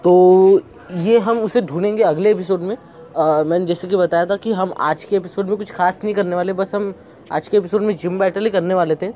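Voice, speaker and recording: A man's voice: high voice, fairly even intonation, moderate pace, dark tone, slight background noise. A young man casually commenting on his playthrough as a gaming host, thinking aloud as he goes. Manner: chatty, thinks aloud while playing. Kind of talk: casual let's-play commentary.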